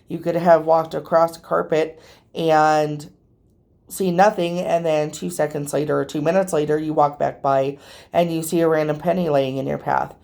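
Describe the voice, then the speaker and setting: Hoarse, slightly nasal woman with a gravelly voice. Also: low-pitched, steady tone, normal speed, a little mellow. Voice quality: a little nasal from allergies. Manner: rambling, chatty, with frequent ums. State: losing her voice, hoarse. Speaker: tarot reader and channel host. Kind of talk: casual vlog talking to viewers.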